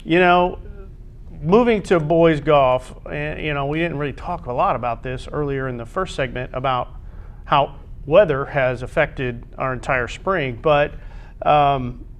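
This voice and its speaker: A man's voice: on the high side, unhurried. An American man giving conversational sports commentary on a school athletics show, in short, emphatic phrases.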